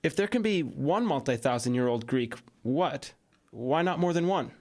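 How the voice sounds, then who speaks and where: A man's voice: expressive, moderate pace, a little mellow, natural voice. A man playing a thoughtful teenage boy in an audio drama, explaining things in a matter-of-fact way. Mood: reasoning. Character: thoughtful teenage boy, newly priest to an oracle. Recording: clear outdoor ambience and vehicle rumble.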